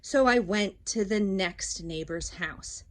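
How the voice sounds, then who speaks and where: A woman's voice: mid-range voice, lightly acted. A woman voicing a self-justifying wolf who tells his side of a children's storybook with folksy, aggrieved, dramatic delivery. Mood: mock innocent. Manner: dramatic, drawn-out storytelling with sudden swoops and hushes. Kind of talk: children's storybook read-aloud.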